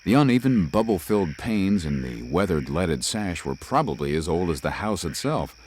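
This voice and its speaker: A man voicing a young, composed city lawyer who narrates his own ghost story in a measured, slightly formal way. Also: deep voice, sing-song, a little mellow, slight background noise. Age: young adult. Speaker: narrator and lead. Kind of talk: first-person audio drama narration.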